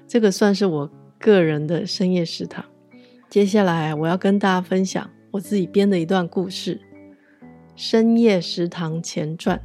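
A woman's voice: lowish voice, mellow. A woman with a Taiwanese accent hosting a podcast and narrating a scripted story.

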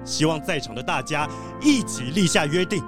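An actor playing a kindly, principled gentleman treasure collector, earnest and expressive, in a children's audio drama. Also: fairly high pitch, normal speed, over music, lightly acted.

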